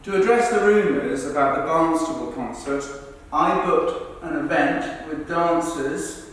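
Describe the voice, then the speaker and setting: Man acting earnest witness, stage monologue, fairly high voice, heard from afar in a reverberant hall. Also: unhurried, close to an everyday voice. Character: people recounting a football club's celebrity visit. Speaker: performer. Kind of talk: verbatim theatre monologues read in rehearsal. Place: large reverberant rehearsal room. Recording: echoey hall, distant mic, faint noise.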